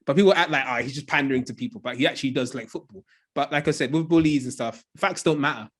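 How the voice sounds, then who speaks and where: A man's voice: medium pitch, fairly bright tone, breathy edge. A man hosting a podcast, chatting casually over a video call in a rambling way. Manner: quick, rambling, with many "like" fillers. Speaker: podcast host.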